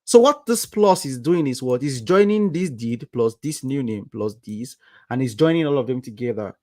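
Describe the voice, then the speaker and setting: A man's voice: medium pitch, animated, normal speed, a little mellow, no room sound, clean studio sound. A young Nigerian man with a Nigerian accent, teaching code on a livestream and asking the viewers rhetorical "what?" questions as he goes. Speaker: coding livestream instructor. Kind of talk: live coding tutorial with chat.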